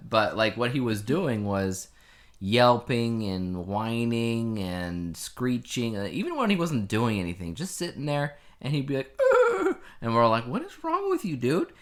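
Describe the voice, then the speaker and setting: A man's voice: medium pitch, big pitch swings, unhurried. A middle-aged man co-hosting a podcast in casual banter, teasing and often repeating his phrases. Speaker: podcast co-host.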